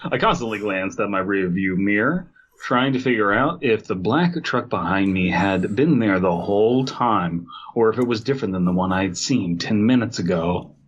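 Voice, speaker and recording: A man's voice: on the low side, expressive, normal speed, husky. A man co-hosting a comedy podcast, bantering casually and reading aloud in a jokey, riffing way. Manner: jokey, riffing, often breaking into laughter. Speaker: podcast co-host. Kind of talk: casual comic banter mixed with story reading. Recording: dull, lo-fi audio.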